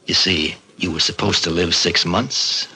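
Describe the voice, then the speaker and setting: An actor playing a shifty, scheming crime boss in 1940s radio acting, evasive and cold, with a husky voice. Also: male voice, low voice, a little slow, sharp tone, a little hiss or hum, full character voice, low-quality recording. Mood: coldly menacing. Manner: hesitant, evasive hemming.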